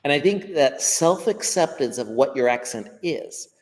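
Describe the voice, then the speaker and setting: Lively Western American man in a reverberant room. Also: medium pitch, moderate pace. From United States. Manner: thoughtful, repeats words while gathering thoughts. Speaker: guest English teacher. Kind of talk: unscripted explaining. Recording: long hall-like reverb.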